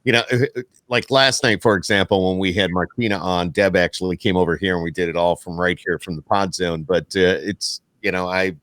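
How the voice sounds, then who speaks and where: A man's voice: deep voice, fairly even intonation, a little fast, a bit bright, no echo. A man in his sixties hosting a podcast, chatting casually on a good microphone in his home studio. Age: 60s. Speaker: podcast host and radio guy. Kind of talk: casual interview chat. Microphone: high-quality studio microphone.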